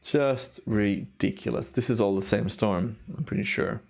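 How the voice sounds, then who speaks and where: A man's voice: fairly low pitch, measured pace, vocal fry, a little mellow. A man hosting a live stream in casual, enthusiastic commentary, his voice a little worn from a long day of recording. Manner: enthusiastic, awed exclamations. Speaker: live stream host, timelapse photographer.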